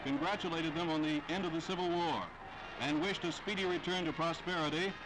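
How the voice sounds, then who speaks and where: A man's voice: on the high side, fairly even intonation, fairly quick, background noise. A man delivering scripted 1940s newsreel narration in a formal, declamatory way with dramatic phrasing. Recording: vintage 1940s newsreel soundtrack, loud music.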